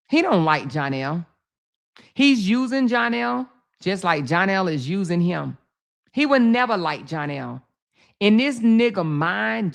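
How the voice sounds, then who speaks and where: A woman hosting her own commentary channel, talking bluntly and profanely, hammering points home by repeating them. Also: mid-range voice. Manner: blunt, profane, emphatic with repetition. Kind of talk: casual social media commentary.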